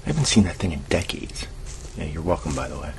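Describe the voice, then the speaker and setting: Man playing very deep-voiced sardonic conspiracy guy. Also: very animated, fast talker, creaky, exaggerated voice. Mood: sardonic, annoyed. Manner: sardonic, colloquial, explanatory. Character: male conspiracy-minded cousin, dry and earnest, burgling a house. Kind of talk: hushed fictional audio drama for ASMR. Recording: noisy low-quality amateur recording.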